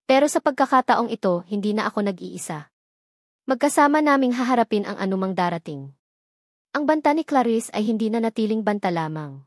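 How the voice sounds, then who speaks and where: A woman's voice: medium pitch, lively intonation, a little fast, no room sound, clean studio sound. A woman reading a scripted first-person romance story as narrator, in a dramatic storytelling manner.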